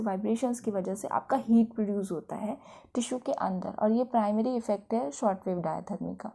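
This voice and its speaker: A woman's voice: mid-range voice, mellow. A woman with an Indian accent giving an explanatory lecture in a didactic way, often checking that listeners follow. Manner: didactic, with frequent check-in tags. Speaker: physiotherapy lecturer.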